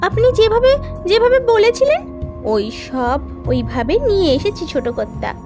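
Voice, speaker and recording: A woman's voice: very high voice, sing-song, normal speed, over music. A woman voicing a frightened, pleading maidservant who repeats herself, in a horror cartoon. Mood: anxious. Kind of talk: Bengali horror cartoon acting.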